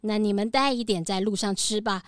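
A woman's voice: mid-range voice, a little fast, clean tone, no echo, clean studio sound, close to an everyday voice. A woman voicing a polite, helpful goat who runs a cookie factory in a children's audio drama. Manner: helpful, bleating.

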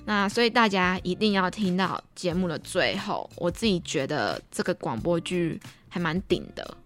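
A woman's voice: fairly low pitch, lively intonation, normal speed, fairly bright tone. A young woman with a Taiwanese Mandarin accent hosting a podcast in a casual, chatty, giggly and self-deprecating way. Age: young adult. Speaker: podcast host. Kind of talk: casual chat. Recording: background music behind the voice. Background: music.

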